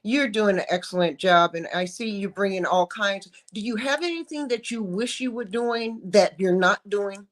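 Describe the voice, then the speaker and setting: A woman's voice: mid-range voice, moderate pace, few pauses. A woman hosting an interview, gushing with effusive praise and playful slang. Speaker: talk show host. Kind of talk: casual interview.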